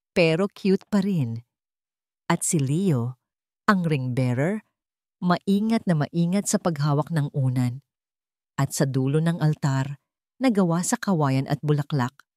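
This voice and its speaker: A woman's voice: deep voice, darkish tone, no room sound, clean studio sound. A woman storyteller reading a scripted love story as dramatic narration.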